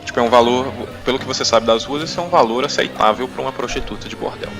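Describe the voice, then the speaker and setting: A man's voice: medium pitch, quick pace, music in the background. A young Brazilian man improvising tabletop RPG narration as game master, hesitantly searching for his words.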